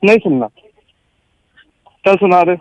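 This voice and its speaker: A man's voice: sits high, expressive, unhurried, dry recording, dull audio. A man on the receiving end of a prank call who plays along with mock praise, then scolds the caller in exasperation.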